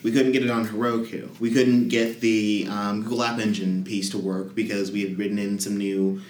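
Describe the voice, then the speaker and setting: A man's voice: lowish voice, little pitch movement, moderate pace, reverberant. A man, a guest, telling at length and with enthusiasm about his team's hackathon project in a casual podcast chat. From Denver area.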